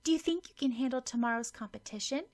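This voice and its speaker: A woman's voice: high-pitched, quick pace, a little mellow, pitched above the performer's own voice, natural voice. A woman voicing a sweet, fretful girl in an audiobook, fussing and gushing with concern. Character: sweet, fretful, caring young girl friend. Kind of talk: audiobook character dialogue.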